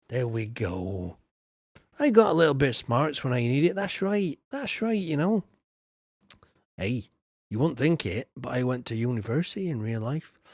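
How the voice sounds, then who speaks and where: Guy doing a silly backwards farmer voice while chatting with his stream, mid pitch, no room echo. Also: creaky, darkish tone, studio quality. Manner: puts on a rustic backwards-farmer voice; jokey and rambling, greets chatters by name. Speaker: streamer hosting a live farming game stream. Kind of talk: chatty in-character streaming with chat.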